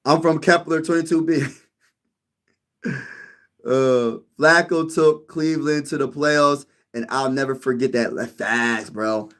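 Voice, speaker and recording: A man's voice: medium pitch, a little slow, a bit bright. A man hosting a sports channel in a casual live-stream chat, rambling, repeating himself and calling listeners "bro.". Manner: rambling, repeats phrases, says "bro" a lot. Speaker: YouTube sports channel host.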